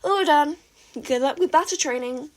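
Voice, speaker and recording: A woman voicing a gentle, patient teenage boy tinkerer who speaks calmly and reassuringly. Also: sits high, normal speed, pitched above the performer's own voice, natural voice. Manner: calm and reassuring.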